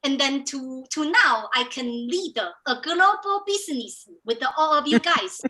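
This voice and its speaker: Taiwanese woman with an american-ish accent, high bright voice, gushy motivational coach, stumbles and repeats words. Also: animated, unhurried, poor audio. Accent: Taiwanese, American-sounding English. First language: Chinese. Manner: enthusiastic, effusive, repeats words when starting a thought. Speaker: business coach hosting a training. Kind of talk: motivational live training talk.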